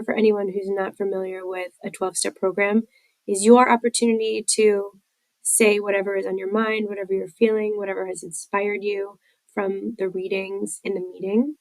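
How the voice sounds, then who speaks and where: A woman's voice: mid-range voice, vocal fry, no room sound, rough recording. A woman hosting a personal recovery podcast, congested from a head cold, talking candidly with many fillers. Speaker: podcast host.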